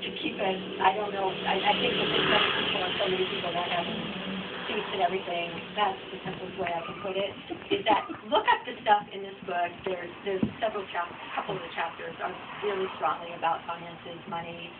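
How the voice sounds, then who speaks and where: A woman's voice: fairly low pitch, fairly even intonation, a little fast. A woman author speaking informally at a book event, reflective and rambling in a conversational way. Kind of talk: informal audience Q&A. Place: bookstore reading event. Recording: distant mic, dull noisy room recording.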